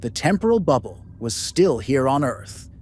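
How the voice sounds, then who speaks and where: A man's voice: medium pitch, very animated, normal speed, a little mellow. A man playing a blunt, questioning religious brother among a starship crew in an amateur sci-fi drama. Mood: skeptical.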